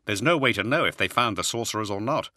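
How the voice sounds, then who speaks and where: A man's voice: lowish voice, lively intonation, fast, pushed and bright, dry recording, clean studio sound. A man playing an earnest, cautious psychic warrior in an audiobook, grave and careful in speech. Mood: grim. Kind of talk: audiobook character acting.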